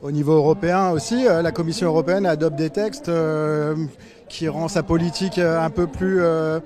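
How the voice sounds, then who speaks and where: A man's voice: on the high side, fairly even intonation, moderate pace. A man asking polite street-interview questions at a protest. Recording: loud crowd around the mic.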